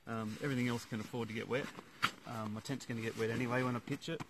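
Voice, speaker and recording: A man in his thirties shows off his lightweight hiking setup in the bush, speaking in an easygoing, practical way with frequent pauses. His voice sits at an ordinary male pitch. It comes from a camera standing a little way off, with plenty of outdoor noise around it. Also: fairly even intonation. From Australia. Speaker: hiker showing his gear. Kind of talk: casual gear walkthrough. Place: outdoors in eucalyptus bushland campsite. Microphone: camera on tripod a few metres away.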